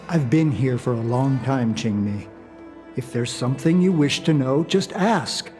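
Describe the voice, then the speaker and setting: An actor playing a fussy, devoted subordinate officer in a video game dub, speaking in a theatrical, officious way. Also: male voice, mid-range voice, lively intonation, takes it slowly, pauses often, music in the background. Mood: admonishing.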